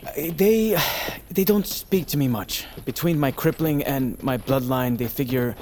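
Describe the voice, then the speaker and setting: A man playing a gentle, self-effacing young nobleman in a fantasy audio drama, hesitant and politely formal. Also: mid-range voice, unhurried, slight background noise, natural voice. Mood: hesitant, uneasy.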